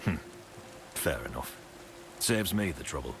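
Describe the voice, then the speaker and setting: An actor playing a haughty, sardonic guard commander in a video game, deliberate and menacing. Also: male voice, very deep voice, very animated, over music, heavily acted. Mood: scornful. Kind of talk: video game character acting. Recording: clearly noisy, rainy ambience.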